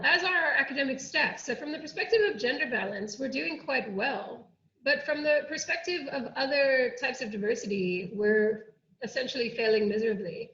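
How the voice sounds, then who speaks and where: A woman's voice: on the high side, a bit bright. A woman with an American accent giving an earnest, explanatory academic presentation at an online workshop. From United States. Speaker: academic oceanographer presenting a programme. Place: indoor room, online workshop. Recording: muffled, roomy online-call audio.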